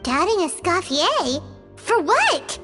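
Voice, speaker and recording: A woman voicing a small, childlike floating companion in an animated game, curious and outspoken, with a squeaky, questioning manner. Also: slow pace, exaggerated voice. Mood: puzzled. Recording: music behind the voice.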